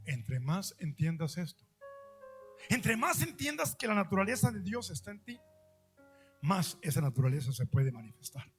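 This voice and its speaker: A man preaching a sermon, repeating phrases and asking his listeners questions, with loud stressed lines and slow drawn-out quiet words. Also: sits high, sing-song. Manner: emphatic, repeats phrases, asks the audience questions. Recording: faint music behind the voice.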